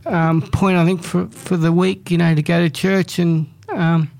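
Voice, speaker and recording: A woman's voice: fairly low pitch, level tone, unhurried, creaky, a little mellow. A woman chatting on a radio show, speaking hesitantly with many fillers and restarts. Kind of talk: casual radio chat.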